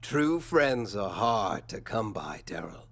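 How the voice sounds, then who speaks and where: A man playing an unctuous, quietly threatening school official, speaking formally and theatrically in a video game. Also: lowish voice, sing-song, gravelly, exaggerated voice. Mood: falsely welcoming. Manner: formal, measured, insinuating.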